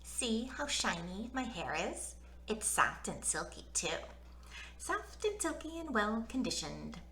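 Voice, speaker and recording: A woman's voice: mid-range voice, very animated, slow pace, bright, forceful tone, heavily acted, poor audio. A woman voicing a vain, showy little schoolgirl in a storybook, preening and self-satisfied. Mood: smug.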